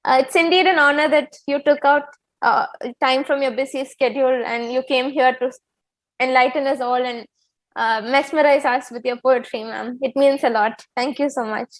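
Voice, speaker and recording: A woman's voice: high voice, steady tone, moderate pace. A young adult woman with an Indian accent, formally and politely welcoming people as the student host of an event.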